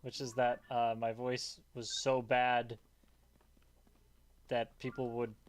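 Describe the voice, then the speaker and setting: A young man with a calm, relaxing voice, talking casually while he streams a game. He rambles, trails off and swears freely, at an ordinary middle pitch for a man. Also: slow. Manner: rambling, loose, swears often. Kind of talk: casual gaming stream chat.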